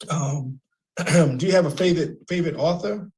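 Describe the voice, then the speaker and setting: A man hosting a radio interview, halting and repeating words as he rephrases his questions. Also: on the high side, a little mellow. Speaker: radio host.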